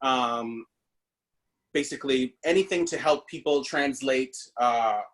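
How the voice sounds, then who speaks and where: A man's voice: medium pitch, takes it slowly, fairly bright tone. A man from the Bahamas, a stage actor, telling his story in a casual interview over a video call, chatty and full of fillers. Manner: chatty, with many fillers and restarts.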